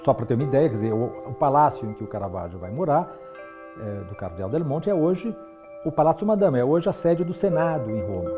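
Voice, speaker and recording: A man speaks as an expert interviewee, explaining at length in a middle-pitched voice. Music plays clearly behind him. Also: very animated, quick pace, muffled recording.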